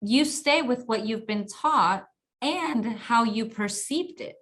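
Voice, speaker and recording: A woman's voice: fairly high pitch, lively intonation, slow pace, a bit bright. A woman from Colombia hosting a talk show, speaking in a casual, reflective way and often restarting her sentences as she shares personal stories. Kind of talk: casual conversational interview.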